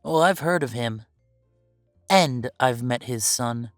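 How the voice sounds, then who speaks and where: A woman's voice: rumbling low, expressive, slow, no room sound, studio quality, faint music behind, heavily acted. A woman playing a bitter, defiant werewolf big brother estranged from the family, curt and confrontational, in an audio drama. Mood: scornful. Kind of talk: scripted audio roleplay drama.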